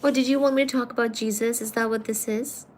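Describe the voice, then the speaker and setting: A woman's voice: a little high, steady tone, fast talker, a little hiss or hum. A woman hosting a livestream, chatting casually and teasingly as she reads out viewer comments. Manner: playful and teasing, calls viewers darling. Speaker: livestream host.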